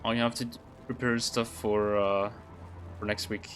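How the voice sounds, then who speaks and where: A man's voice: on the low side, little pitch movement, a little slow, a bit bright, faint music behind. A man giving casual, rambling live gameplay commentary as a game streamer, easily impressed by what happens.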